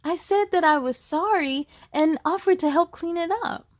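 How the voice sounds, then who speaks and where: A woman hosting a children's storytime, reading aloud in a cheerful, encouraging and expressive way. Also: very high voice, moderate pace, no room sound, studio quality. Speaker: host of a children's read-aloud show.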